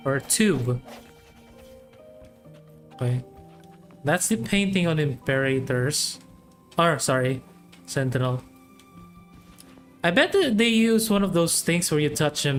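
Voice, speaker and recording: A game streamer with a mid-range voice, talking very slowly in short casual remarks. The commentary is informal and musing, with faint music behind it. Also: medium pitch, animated.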